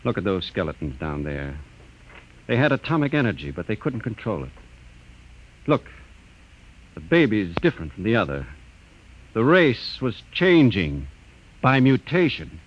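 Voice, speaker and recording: An actor playing an earnest, brainy space explorer, urgent and explanatory, in old-time radio drama style. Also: male voice, on the low side, sing-song, moderate pace, pauses often. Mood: grave, explanatory. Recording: dull old radio recording.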